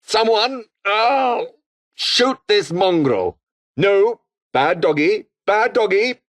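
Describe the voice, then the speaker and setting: A man's voice: sits high, expressive, takes it slowly, a bit bright, heavily acted. A male actor voicing a menacing, foul-mouthed revolutionary foot soldier with a British accent, taunting and theatrical in video game barks. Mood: alarmed, urgent.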